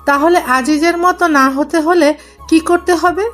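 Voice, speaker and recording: High-voiced nagging mother, acted by a woman. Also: steady tone, measured pace, a bit bright. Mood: coaxing. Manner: nagging, questioning. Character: scolding, bossy mother of a small boy. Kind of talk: audio story acting. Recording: music behind the voice.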